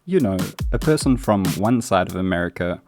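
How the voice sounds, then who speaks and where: A man from South Africa with a South African accent, giving a comedic scripted narration with dry, deadpan delivery. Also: low-pitched, a little fast. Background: music.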